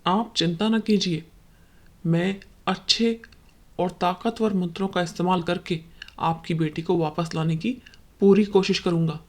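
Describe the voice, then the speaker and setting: A woman voicing a solemn male holy man with a grave, deliberate, fatherly manner in a horror cartoon story. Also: lowish voice, fairly even intonation. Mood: reassuring.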